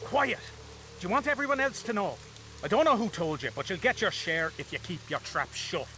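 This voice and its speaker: A man's voice: sits high, animated, a little fast, sharp tone, faint music behind. A man acting an irritable, furtive soldier in a video game, coarse and snappish. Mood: irritated, grudging. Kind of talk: dramatic video game dialogue.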